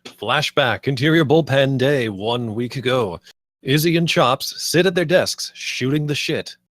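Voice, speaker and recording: A man's voice: medium pitch, unhurried, a bit bright, no echo. A man narrating the stage directions of a script at a table read.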